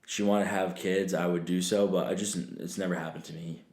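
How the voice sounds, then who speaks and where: A man's voice: low voice, steady tone, fairly quick, a little mellow. A man in his twenties talking casually as a podcast guest, loose and profane, with lots of fillers and laughter. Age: 20s. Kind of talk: casual chat. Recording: echoey room.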